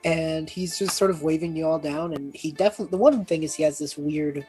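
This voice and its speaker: A woman with a husky voice chatting casually and rambling as a player in a tabletop game. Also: sits low, fairly quick, warm tone, soft background music. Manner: rambling, self-deprecating, frequent throat clearing.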